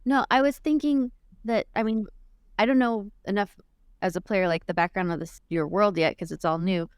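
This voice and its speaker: A woman's voice: medium pitch, fairly even intonation, normal speed, smooth, no echo, studio quality. A woman chatting casually as a player in a tabletop game, thinking aloud about her character.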